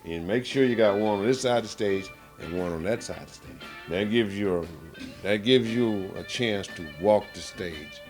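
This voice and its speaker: A man with a very low, deep voice talks about performing as a blues singer and guitarist. He speaks emphatically and colloquially, acting out his points as he goes. Soft music plays faintly behind him. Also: moderate pace, hardly any pauses. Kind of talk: casual interview.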